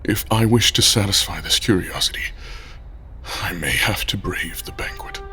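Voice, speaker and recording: A man's voice: deep voice, normal speed, over music, natural voice. A man playing a proud, weary, melodramatic military director in a science fiction audio drama, formal and dry. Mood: weary, brooding. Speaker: lead character.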